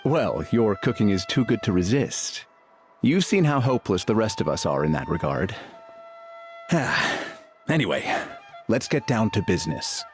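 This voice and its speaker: Affable, expressive game chieftain. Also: male voice, on the low side, normal speed, long pauses, soft background music. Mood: amused, relaxed. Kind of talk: video game character acting.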